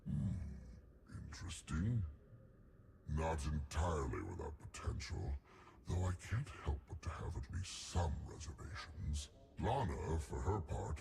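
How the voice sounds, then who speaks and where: Fussy, erudite male alien scientist for a game. Very low, creaky voice, formal delivery, faint noise. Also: a little mellow, full character voice. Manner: formal, verbose, deliberate. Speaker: alliance science chief. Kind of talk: scripted game character dialogue.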